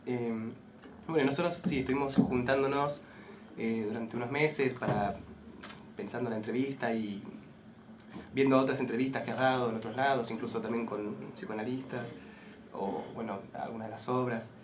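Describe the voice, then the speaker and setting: A man with an Argentine accent, asking a prepared interview question in an informal, halting way. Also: medium pitch, steady tone. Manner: tentative, halting. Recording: noisy live room.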